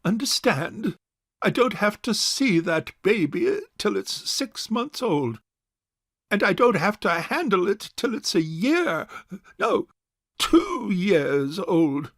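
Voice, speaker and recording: A man playing a stubborn, pompous bachelor uncle in a stage farce, speaking formally and with measured care. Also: high voice, big pitch swings, fairly bright tone, dry recording, clean studio sound, exaggerated voice. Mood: grandly suffering. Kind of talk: stage farce audio drama acting.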